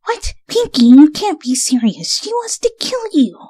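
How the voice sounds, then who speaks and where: A woman's voice: high-pitched, sing-song, unhurried, dark tone, in a large hall, voice pushed up. A woman voicing an earnest, bookish young female cartoon pony with a prim, lecturing manner. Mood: appalled.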